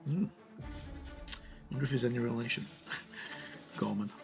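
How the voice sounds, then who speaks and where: A man giving a casual, informal review as he leafs through a book, halting as he goes and muttering the odd aside. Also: medium pitch, creaky, a little mellow, dull audio, soft background music. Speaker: tabletop game book reviewer. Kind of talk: casual flip-through review with read-out passages.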